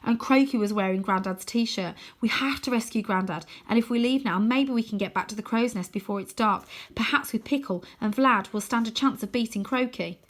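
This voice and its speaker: A woman's voice: fairly high pitch, fairly quick, hardly any pauses, pitched above the performer's own voice, lightly acted. A woman voicing an earnest young boy hero in a storybook, urgent and pleading.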